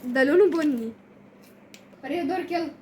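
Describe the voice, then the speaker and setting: A woman's voice: sits high, brisk, darkish tone, a little hiss or hum. A young girl with an Egyptian Arabic accent, chatting casually as she hosts an unboxing video and gives instructions to a younger child. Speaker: kids' channel host.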